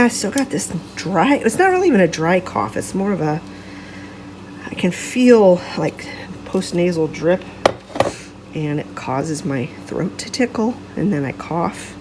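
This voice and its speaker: A middle-aged woman hosting a casual home vlog, chatting in a rambling, self-correcting way, her voice congested and gravelly from a cold. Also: on the low side, darkish tone, a little hiss or hum, rough recording. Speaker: vlog host.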